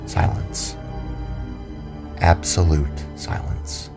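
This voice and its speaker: A man's voice: sits low, wildly swooping pitch, drawn-out words, creaky. A man giving dramatic scripted narration as the storyteller of an audio drama. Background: background music.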